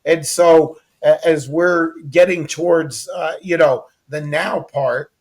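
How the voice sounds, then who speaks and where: Higher-pitched American man hosting an interview. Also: fairly even intonation, takes it slowly, a little mellow. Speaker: interview host. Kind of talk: casual conversational interview.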